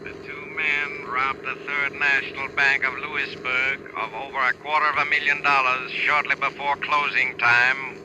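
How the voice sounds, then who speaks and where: An actor playing an official, impersonal police dispatcher with clipped speech in a 1940s radio drama. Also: male voice, mid-range voice, level tone, normal speed, few pauses, lightly acted. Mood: official, urgent. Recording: faintly noisy 1940s radio recording.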